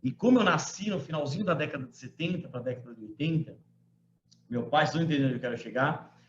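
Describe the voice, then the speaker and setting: Forties guy lecturing students online, kinda high voice, echoey room, muffled call audio. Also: quick pace, a little hiss or hum. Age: 40s. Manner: conversational, frequent tag questions, emphatic quoting. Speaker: law professor giving a lecture. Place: home room. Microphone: computer mic on a video call.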